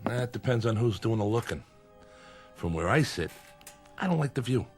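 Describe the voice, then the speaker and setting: An actor playing a menacing bookmaking boss in a radio crime drama, with weary sarcasm and veiled threats. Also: male voice, medium pitch, animated, normal speed, soft background music, close to an everyday voice. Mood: quiet menace. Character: menacing, sardonic male gangland bookmaking boss. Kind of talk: old-time radio crime drama acting.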